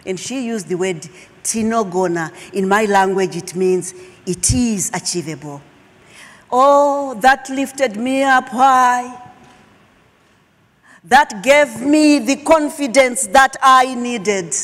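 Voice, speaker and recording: A middle-aged woman from Zimbabwe, a Shona speaker, telling her personal story as a keynote speaker with emphatic pauses. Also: fairly high pitch. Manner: slow, emphatic, dramatic pauses. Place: large auditorium stage. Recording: long hall reverb.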